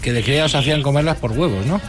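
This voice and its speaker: A man's voice: medium pitch, racing, over music. A man co-hosting a radio show, chipping in with jocular, casual banter. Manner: jocular, interjecting. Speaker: radio co-host.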